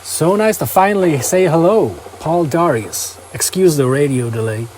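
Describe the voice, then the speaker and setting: A man's voice: fairly high pitch, moderate pace, a little mellow, a little hiss or hum, voice pushed up, lightly acted. A man voicing a polite, formal billionaire space scientist in a motion comic. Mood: courteous. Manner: courteous, formal. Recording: music under the voice.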